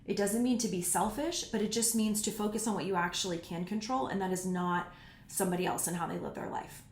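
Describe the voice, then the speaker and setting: A woman's voice: medium pitch, fairly even intonation, brisk, slight background noise. Woman in her 30s, podcast host, frank and candid, mid-range voice, echoey room. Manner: frank and candid, speaks bluntly about personal topics. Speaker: podcast host answering listener questions. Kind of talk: casual solo podcast talk. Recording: roomy echo.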